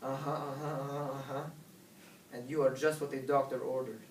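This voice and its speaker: A man voicing a laid-back chihuahua who leads his little gang in a storybook, with drawn-out, rhyming lines. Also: medium pitch, flat delivery, close to an everyday voice. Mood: matter-of-fact. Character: laid-back male chihuahua gang leader, biggest of the small ones. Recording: roomy, distant, noisy recording.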